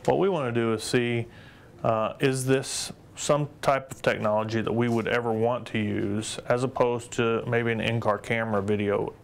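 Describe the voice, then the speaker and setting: A man's voice: mid-range voice, steady tone, moderate pace, vocal fry. A man giving explanatory remarks in an interview as a police spokesman.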